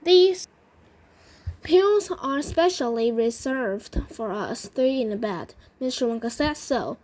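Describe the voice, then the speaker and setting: A woman's voice: a little high, animated, slow, darkish tone, low-quality recording. A woman reading a storybook and playing a bossy, complaining old grandmother with indignant exclamations. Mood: firm refusal.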